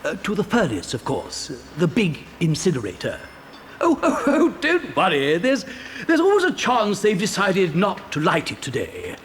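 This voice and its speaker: An actor playing an eccentric, gleeful chocolate factory owner in a children's audio drama, flustered, hesitant and mischievous. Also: male voice, high voice, wildly swooping pitch, moderate pace. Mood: mock-innocent glee. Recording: faint hiss, 1990 cassette dramatisation.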